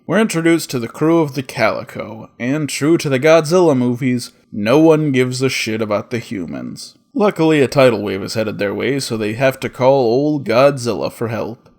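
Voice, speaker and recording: A man's voice: mid-range voice, moderate pace, hardly any pauses, darkish tone. Sarcastic man hosting a comedic review show. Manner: sarcastic, profane. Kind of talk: scripted comedic cartoon review narration.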